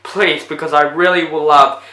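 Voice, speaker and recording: Young male vlogger, bright and slightly high voice, rambling casual review, tiring voice, echoey room. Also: normal speed. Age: young adult. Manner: rambling, animated retelling with lots of fillers. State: voice getting tired. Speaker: movie reviewer. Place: indoors in front of a plain blue backdrop.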